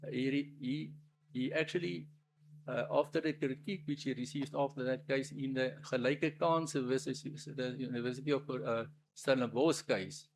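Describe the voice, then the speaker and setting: Brief: Afrikaans-speaking man, South African accent, gravelly voice, halting formal submission as an attorney at a public hearing. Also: mid-range voice, rough recording. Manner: halting, often restarting and searching for words.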